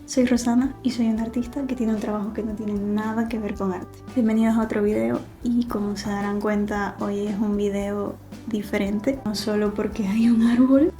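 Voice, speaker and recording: A woman, chatting casually in a home vlog, soft-spoken and thinking aloud with small pauses. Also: medium pitch. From Venezuela. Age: 30s. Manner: soft, relaxed, thinking aloud with fillers and restarts. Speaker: artist vlogger. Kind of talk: casual vlog talk to camera. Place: living room at home, cats playing nearby.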